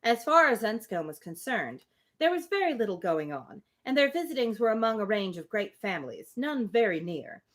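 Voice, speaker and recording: A woman reading a classic novel aloud on a livestream in an expressive, informal manner, ready to break off for casual asides. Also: fairly high pitch, moderate pace. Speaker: reader hosting a livestream reading.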